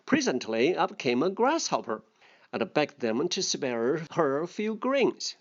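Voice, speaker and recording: Children's fable narrator and vocabulary teacher: unhurried, animated intonation, slightly dull recording. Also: medium pitch. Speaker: storyteller and English vocabulary teacher. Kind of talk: scripted fable narration and word explanations. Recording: dull, muffled lo-fi sound.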